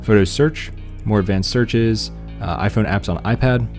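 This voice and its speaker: A man's voice: on the low side, moderate pace, darkish tone, soft background music. A man giving casual, laid-back tech news commentary in an informal, slangy way.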